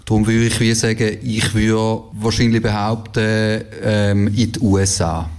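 Man in a vox pop, flat hesitant voice, thinking it through. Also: fairly low pitch, unhurried. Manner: hesitant, thinking aloud with fillers. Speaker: interviewee. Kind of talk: street interview answer.

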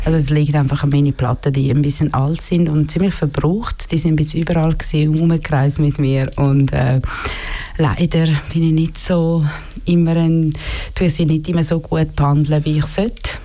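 A woman's voice: low voice, steady tone, moderate pace, mellow. A woman in her thirties with an Italian accent, a guest DJ on a radio show, chatting casually with a few hesitations and frequent laughs. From born in Bosnia, raised in Ticino, Switzerland. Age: 30s. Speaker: studio guest DJ.

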